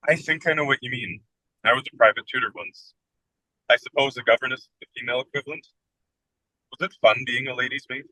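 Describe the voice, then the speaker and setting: A man's voice: medium pitch, steady tone, fast talker, sharp tone, dry recording, low-quality recording. A man playing a self-deprecating, whimsical American writer in his twenties in a radio comedy, with a wry, wordy, theatrical delivery. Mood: rueful, teasing. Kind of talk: radio play comedy dialogue.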